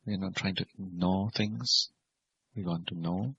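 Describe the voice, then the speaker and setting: A man teaching guided meditation as a Buddhist monk, speaking in measured, simple phrases and gentle questions. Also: deep voice, steady tone, creaky, slightly rough. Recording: dull, lo-fi recording.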